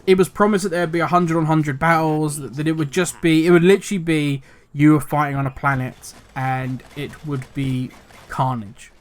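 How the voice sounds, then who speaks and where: Older male gaming host, slightly raised pitch, rambling and grumpy in tone. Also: steady tone, moderate pace. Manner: talkative, rambling, self-correcting; cynical, grumbling. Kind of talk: casual commentary video.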